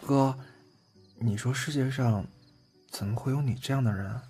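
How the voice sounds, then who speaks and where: 一名男演员在广播剧里饰演一个甜蜜害羞、爱说话的年轻男友，语气真诚、带点孩子气和自嘲。. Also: male voice, medium pitch, normal speed, natural voice. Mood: playful, earnest. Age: young adult. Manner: earnest, boyish, self-deprecating. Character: sweet, bashful, chatty young boyfriend in love. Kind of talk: Chinese audio drama acting. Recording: music under the voice. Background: background music.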